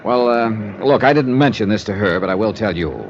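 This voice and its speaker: An actor playing a confident insurance investigator, breezy, wry and matter-of-fact, in 1950s radio drama style. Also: male voice, on the low side, animated, fairly quick, a little hiss or hum. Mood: businesslike. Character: brisk, confident male freelance insurance investigator. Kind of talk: 1950s radio drama acting and first-person narration. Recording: muffled old radio recording with music.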